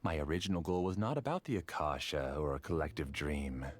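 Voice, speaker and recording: An obsessive polite scholar. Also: male voice, extremely low pitch, animated. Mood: calm confession. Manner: courteous, measured, quietly intense. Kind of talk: game cutscene dialogue.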